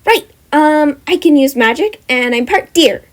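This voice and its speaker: A woman's voice: high-pitched, lively intonation, measured pace, pitched above the performer's own voice. A woman voicing a bubbly deer-elf wizard girl. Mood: cheerful. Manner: bright, chatty and eager.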